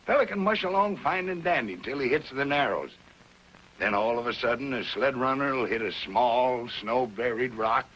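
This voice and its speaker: An actor playing a crusty, excitable old Yukon storekeeper who talks in folksy frontier slang, in a 1950s television western. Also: male voice, mid-range voice, normal speed, close to an everyday voice. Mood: gossipy, dramatic. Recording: noisy vintage 1950s television soundtrack.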